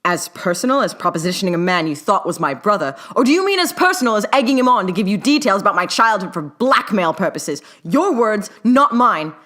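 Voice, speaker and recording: A woman's voice: mid-range voice, sing-song, fairly quick, few pauses, fairly bright tone, voice pushed up. A woman voicing a proud, acerbic male academic in a story, speaking in a formal, clipped, stiffly polite manner. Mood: sharp, defensive. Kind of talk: dramatic fiction reading.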